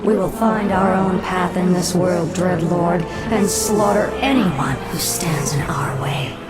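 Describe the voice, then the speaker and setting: A cold, commanding undead queen in a fantasy game. Her voice is somewhat low and imperious, with a ruthless edge. Loud music plays underneath. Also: slow pace. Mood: proud, menacing.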